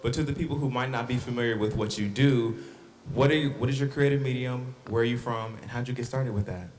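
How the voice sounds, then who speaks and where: A man's voice: mid-range voice, quick pace, a little mellow, reverberant, slight background noise. A man hosting a discussion podcast, opening with upbeat introductions and then asking his guests questions. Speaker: host.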